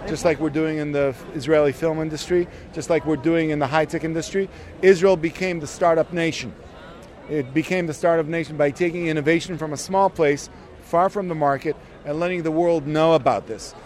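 Man, fairly high voice, conference organizer, emphatic speech, repeated phrases. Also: steady tone, a little fast, a little mellow. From United States.

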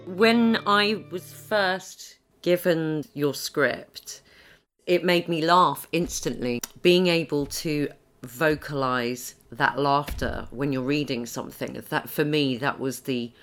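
A woman's voice: low-pitched, slow pace. A woman who works as a voice actor, talking casually in an interview. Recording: music behind the voice.

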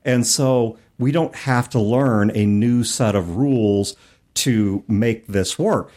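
A man's voice: on the low side, takes it slowly, a little mellow. A man co-hosting a casual gaming discussion, explaining things with rhetorical questions and asides. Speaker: podcast co-host.

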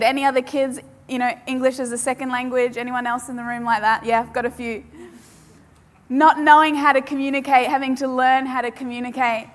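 Woman preaching in an echoing hall. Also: high voice. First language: Polish. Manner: emphatic, repeats key phrases with dramatic pauses. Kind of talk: sermon. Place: large hall with audience. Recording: long hall reverb.

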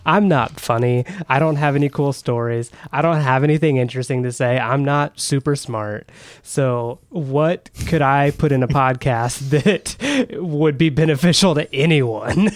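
A man's voice: mid-range voice, a little slow, dry recording. A man co-hosting a casual podcast chat, laid-back and joking, laughing often. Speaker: podcast co-host.

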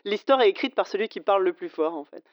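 A woman's voice: mid-range voice, lively intonation, quick pace, no room sound, highs cut off. A woman in casual conversation who talks chattily with frequent hesitations and laughs easily. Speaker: podcast co-host.

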